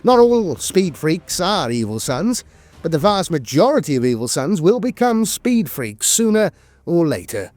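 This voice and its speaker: Higher-voiced man narrating lore with lively intonation. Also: unhurried, dry recording. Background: music.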